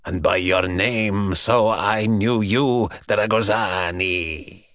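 A man voicing an ancient buried male vampire who speaks in slow, mocking, archaic phrases. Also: fairly low pitch, fairly bright tone, no room sound, clean studio sound, pitched below the performer's own voice. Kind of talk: audiobook character voice.

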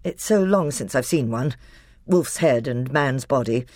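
A reader voicing a confident teenage boy who is high king, speaking with assurance. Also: lively intonation, measured pace, a little mellow, dry recording, clean studio sound, natural voice. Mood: assured.

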